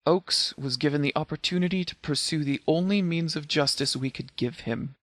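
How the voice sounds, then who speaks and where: An actor playing a stern, principled middle-aged sheriff in a video game, speaking in a formal, grave and deliberate way. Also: male voice, a little high, a bit bright, no room sound, natural voice. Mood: solemn. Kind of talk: scripted video game character dialogue.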